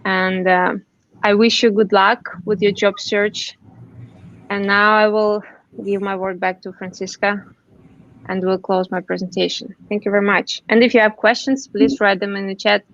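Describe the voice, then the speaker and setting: A woman presenting job-search tips on a webinar, earnest and halting, with many restarts and fillers. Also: mid-range voice, flat delivery, a bit bright. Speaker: webinar panelist. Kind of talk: slide presentation. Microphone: computer mic on a video call. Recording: dull dry video call audio.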